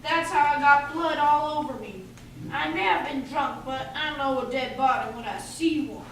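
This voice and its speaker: A woman's voice: high voice, moderate pace, a bit bright. A woman playing a belligerent drunken man in an amateur radio play. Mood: boozy. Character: drunk, belligerent hothead man, whining and loud. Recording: very echoey room, distant mic, faint hiss.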